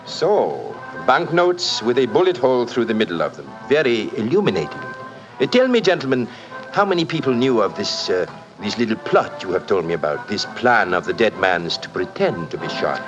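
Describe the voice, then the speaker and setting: A suspicious French police inspector, speaks formally with a French accent. His pitch moves widely in this radio mystery, heard on a dull old recording. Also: big pitch swings, over music. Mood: probing. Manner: formal, deliberate questioning. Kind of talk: 1940s radio acting.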